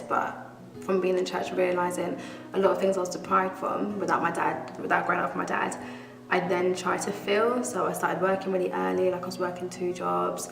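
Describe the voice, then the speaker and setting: Twenty-something woman sharing her story, slight fry, echoey hall with soft music. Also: on the low side, recorded from a distance. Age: 20s. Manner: candid, laughs easily. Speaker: church member giving testimony. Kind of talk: testimony interview.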